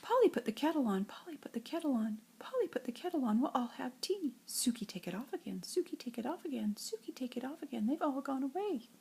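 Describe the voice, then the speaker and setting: An older woman with a breathy, husky voice reads nursery rhymes like a grandmother, in an expressive singsong storytelling way. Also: high-pitched, fast talker, hardly any pauses. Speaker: grandmother reading to children.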